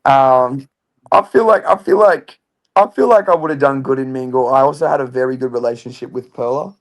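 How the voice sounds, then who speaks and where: A young man with a loud voice, chatting upbeat as a guest in a casual podcast interview. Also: mid-range voice, a little fast. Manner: chatty, upbeat, says "I feel like" a lot. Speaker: reality show contestant, guest.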